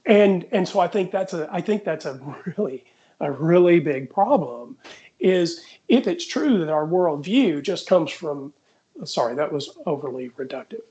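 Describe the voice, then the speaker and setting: A man in casual debate, speaking in a measured, deliberate and conciliatory way as he orders his points. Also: fairly high pitch, animated, warm tone, lo-fi sound.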